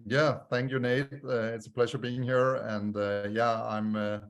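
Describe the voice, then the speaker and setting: A man with a Danish accent presents a talk over a video call and fills it with many "uh" pauses. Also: mid-range voice, flat delivery. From Denmark. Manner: many "uh" fillers, measured delivery. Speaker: guest speaker.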